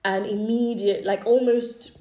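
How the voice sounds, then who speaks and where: A woman in her thirties from East Africa whose first language is Swahili, chatting casually as a YouTuber walking through her own channel history with fillers and quick asides. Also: mid-range voice, fairly even intonation, mellow. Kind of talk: casual screen-share walkthrough. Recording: echoey room.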